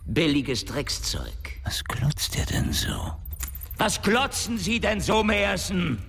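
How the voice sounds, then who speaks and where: Gruff boss with wildly swinging pitch. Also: male voice, wildly swooping pitch, slow pace, a bit bright, heavily acted. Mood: irritated, scornful. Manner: sarcastic, cursing, blustering outbursts. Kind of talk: German radio drama acting. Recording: studio production with music bed.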